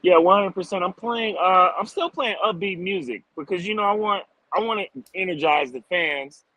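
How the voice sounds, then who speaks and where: A man's voice: high voice, moderate pace, pushed and bright, no room sound, low-quality recording. Easygoing, informal man with a dry close sound. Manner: upbeat, informal, peppers speech with like and man. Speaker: street drummer guest. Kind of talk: casual interview answers.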